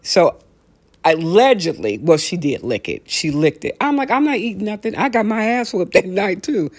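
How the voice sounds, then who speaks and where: A middle-aged American woman talks as if to friends, in a medium-pitched voice. She is blunt and animated, using colloquial phrasing. She rants comedically and repeats phrases to drive a point home. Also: normal speed. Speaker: talk show host. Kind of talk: casual comedic rant with storytelling.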